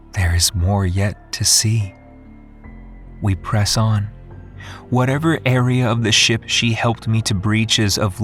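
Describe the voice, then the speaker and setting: An actor playing an introspective researcher, giving a measured, contemplative first-person narration in an audio drama. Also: male voice, fairly low pitch, a bit bright. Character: solemn, introspective male researcher recounting his ordeal. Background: music.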